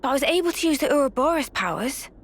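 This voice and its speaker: A woman's voice: high-pitched, animated, fast. An actress playing an earnest, thoughtful young female warrior in a video game, sincere and a little hesitant. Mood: hesitant, apologetic.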